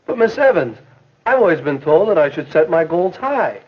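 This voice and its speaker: Husky, earnest American high school boy. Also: big pitch swings, moderate pace. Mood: earnest, hopeful. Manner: earnest, stagey thinking aloud. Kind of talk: 1950s educational film acting. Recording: muffled old 1950s film soundtrack.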